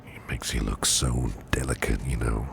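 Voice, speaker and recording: Man acting a very deep, gravelly, whispering protective boss. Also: fairly even intonation, background noise. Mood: soothing, tender. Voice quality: breathy near-whisper with gravelly fry. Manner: tender, slow, reassuring murmur. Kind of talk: ASMR roleplay, soothing personal attention.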